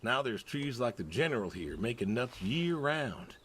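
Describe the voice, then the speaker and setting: An actor playing a lonely, aging pig farmer, gentle and resigned, speaking hesitantly and wistfully in a naturalistic game performance. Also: male voice, mid-range voice, big pitch swings, moderate pace, a little hiss or hum. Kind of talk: naturalistic narrative game voice acting.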